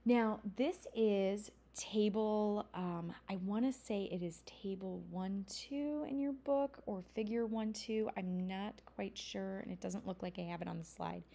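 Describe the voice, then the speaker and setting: Woman lecturing in a mellow voice. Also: medium pitch, measured pace, gravelly, few pauses. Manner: conversational, explanatory, with fillers and self-corrections. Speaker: college instructor. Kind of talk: recorded lecture.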